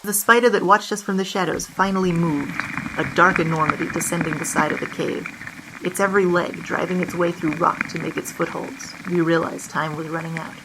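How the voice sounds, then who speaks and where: A woman's voice: on the low side, steady tone, brisk, noisy background, lightly acted. A woman voicing a flippant, brazen female bandit who narrates her confession in an audio drama. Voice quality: flippant, breezy.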